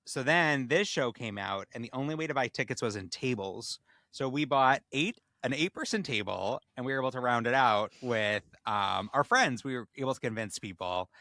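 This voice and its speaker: A young man co-hosting a podcast in casual chat, with a playful, animated storytelling manner. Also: mid-range voice, normal speed, dry recording. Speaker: podcast co-host.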